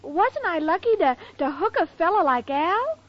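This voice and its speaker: A very high-voiced dizzy young woman. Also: sing-song, normal speed, very noisy. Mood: naive. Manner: naive, dreamy and earnest. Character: scatterbrained sweet young woman. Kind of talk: 1940s radio sitcom acting. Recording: dull vintage radio with audience.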